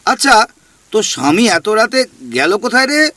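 A man's voice: high-pitched, big pitch swings, moderate pace, sharp tone, no room sound, exaggerated voice. A man voicing a mischievous male ghost in a radio play, who gossips slyly and needles the listener. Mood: teasing. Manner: sly, needling, spills secrets.

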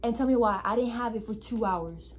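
A teenage girl vlogging to her phone camera, excitable and giggly, her voice a little hoarse from losing it. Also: a little high, fairly quick. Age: teens. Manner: excitable, giggly, talks straight to the viewers. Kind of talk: casual vlog chat to a handheld phone camera. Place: outdoors in a city, then at home. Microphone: phone camera held at arm's length. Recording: noisy phone audio with crowd in background.

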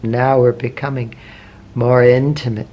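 A man leading a guided meditation, asking slow, gentle questions with long pauses between phrases. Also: medium pitch, little pitch movement, lo-fi sound. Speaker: meditation teacher. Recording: faint background noise with meditation music.

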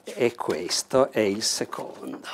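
Older man, board game unboxing, lively, gesturing, indoor room. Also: fairly low pitch, slow pace, creaky, a little hiss or hum. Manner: animated, leans over table and gestures while explaining. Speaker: host presenting a board game. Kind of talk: enthusiastic unboxing talk. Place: bright indoor room with a large table.

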